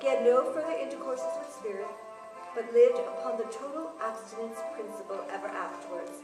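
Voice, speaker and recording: A woman narrating a scripted story for a theatrical radio play, with dramatic phrasing and pauses. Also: a little high. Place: stage of a showcase theater. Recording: echoey theater, distant mic, music behind.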